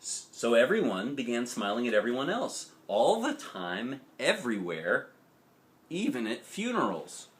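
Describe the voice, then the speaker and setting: A man narrates a children's picture book in a highly animated voice. He leans on key words dramatically, then slips into casual asides and questions to whoever is listening. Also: a little slow. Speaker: dad reading a picture book aloud, show host. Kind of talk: expressive children's storybook narration with chatty asides.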